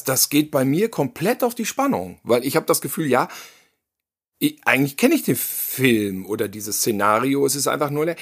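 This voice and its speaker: A man co-hosting a horror film podcast, chatting casually and speaking straight to the listeners. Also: medium pitch. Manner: lively, animated, addresses listeners directly. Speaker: podcast co-host. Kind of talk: casual film review chat.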